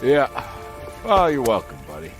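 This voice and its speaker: An actor playing a gruff, wry detective in a horror video game, with a dry, sardonic manner. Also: male voice, mid-range voice, huge pitch swings, moderate pace, music in the background. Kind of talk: video game cutscene acting.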